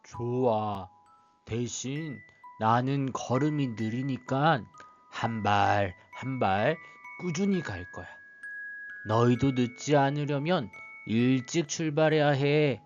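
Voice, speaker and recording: A man voicing a gentle, hardworking male ox in a storybook, speaking calmly, with soft music behind. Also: medium pitch, slow pace, muffled recording, lightly acted. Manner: calm, gentle. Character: slow, steady, kind male ox.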